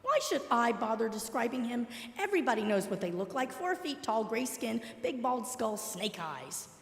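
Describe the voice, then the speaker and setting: Woman as a sardonic, high-pitched TV co-host. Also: sing-song, moderate pace, fairly bright tone, in a large hall. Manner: dry, sarcastic, theatrical. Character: sardonic, unimpressed female paranormal TV co-host. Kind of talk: live comedy audio drama, mock TV reporting.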